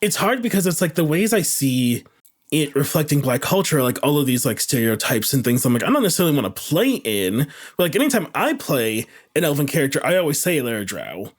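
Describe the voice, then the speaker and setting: A man with a somewhat high voice takes part in a casual podcast panel. His talk is halting, as he feels his way toward the right words. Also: big pitch swings.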